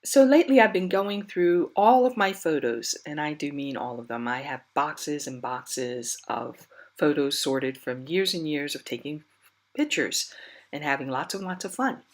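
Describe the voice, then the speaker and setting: Low-voiced woman with a stuffy nose. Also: a little slow. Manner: chatty and rambling, with fillers and soft laughs. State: stuffy nose, losing her voice. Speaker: scrapbooking vlogger. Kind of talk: casual crafting chat.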